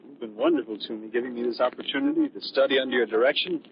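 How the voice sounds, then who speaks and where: An actor playing an eager, deferential young lab assistant, earnest and polite, in 1930s radio drama style. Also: male voice, medium pitch, sing-song, racing, gravelly. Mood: earnest, apologetic. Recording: muffled, lightly noisy 1930s radio recording.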